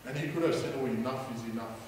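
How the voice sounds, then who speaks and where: A middle-aged South African man preaching a sermon from a church stage, rising to loud calls and prompting the congregation to answer. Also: medium pitch, quick pace, a little hiss or hum. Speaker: pastor. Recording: echoey hall from far off.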